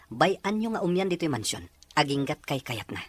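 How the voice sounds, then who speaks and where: A man's voice: a little high, big pitch swings, moderate pace. A man playing a stubborn, lovestruck rich young man in a melodramatic audio drama, speaking earnestly and firmly. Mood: defiant. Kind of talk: melodramatic radio-style drama acting. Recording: noisy track with background ambience.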